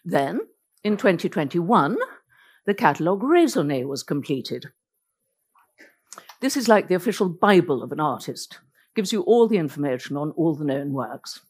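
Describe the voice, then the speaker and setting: Woman, Norwegian first language, lecturer, low voice, lively melody, vivid storyteller, wry asides. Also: moderate pace, pauses often, slightly rough. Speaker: biographer giving a lecture. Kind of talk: illustrated public lecture.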